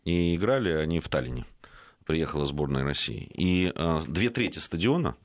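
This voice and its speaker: A man co-hosting a political talk show, reading a viewer's message and asking questions with halting, stammering restarts. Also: rumbling low, lively intonation, no room sound, studio quality. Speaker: co-host.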